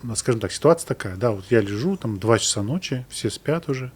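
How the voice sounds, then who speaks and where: A man in his thirties with a mid-range voice, hosting a relaxed interview. He gropes for words, circling back with fillers before he reaches his question. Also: medium pitch, a little fast, breathy edge. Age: 30s. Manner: casual, hesitant, searching for words. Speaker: host. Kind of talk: casual interview chat.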